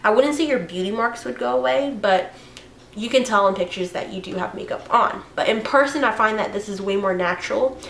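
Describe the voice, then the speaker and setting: A young woman with a hoarse voice from a cold, chatting casually through a makeup tutorial with fillers and self-corrections. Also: mid-range voice, fairly quick, echoey room, a little hiss or hum. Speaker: beauty vlogger.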